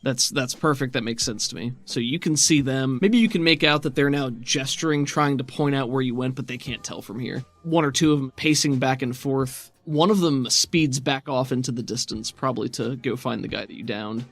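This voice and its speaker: A man's voice: mid-range voice, moderate pace, hardly any pauses. Male game master, casual improvised scene narration, encouraging manner, music underneath. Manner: encouraging, affirming.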